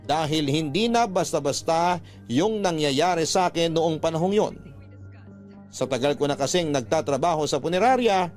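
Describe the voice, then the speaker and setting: High-pitched Filipino man narrating over music. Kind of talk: dramatic first-person scripted story narration. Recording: music bed behind narration.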